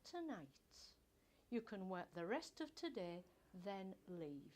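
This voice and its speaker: A woman's voice: medium pitch, sing-song, slow, darkish tone, slight background noise. A woman reading a story plays a stern, accusing male office boss, emphatic and pompous.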